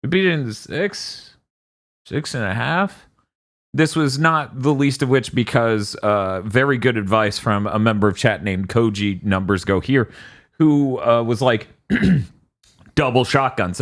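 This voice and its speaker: A man co-hosting a casual gaming podcast, animated and swearing as he tells stories. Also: medium pitch. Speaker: podcast co-host.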